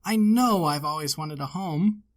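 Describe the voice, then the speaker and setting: A man's voice: fairly high pitch, measured pace, close to an everyday voice. A man voicing a small, innocent stuffed bear in a storybook, speaking with earnest wonder. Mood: content. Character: small wistful wide-eyed stuffed toy bear.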